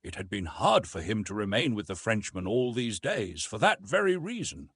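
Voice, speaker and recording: Male audiobook narrator, middle pitch with very lively movement, husky tone, dry close recording. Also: normal speed, no room sound. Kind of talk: scripted narration of an adventure novel.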